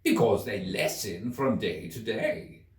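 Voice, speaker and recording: A gryphon who scolds and orders everyone about as a man plays the beast in a children's story. The delivery is blunt and scornful, with very lively pitch. It is heard a little far off in a roomy space. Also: slow pace. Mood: gruff, reminiscing. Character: brusque, bossy, scornful male gryphon. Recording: echoey room, distant microphone.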